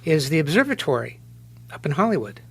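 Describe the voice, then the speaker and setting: Higher-voiced man, a casual talk show guest. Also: sing-song, brisk, creaky, a bit bright, breathy edge. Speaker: guest, stage director.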